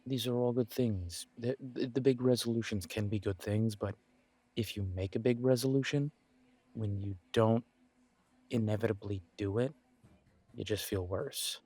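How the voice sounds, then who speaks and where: A man playing a friendly, reassuring homebody best friend in an intimate one-sided roleplay audio, talking casually in halting, gently encouraging phrases. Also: on the low side, steady tone, husky, dry recording. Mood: gentle, reassuring.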